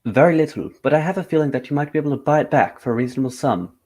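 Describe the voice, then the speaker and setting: An actor playing a composed, courteous gentleman detective in a radio drama, speaking in a formal, measured way. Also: a little high, natural voice. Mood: reassuring.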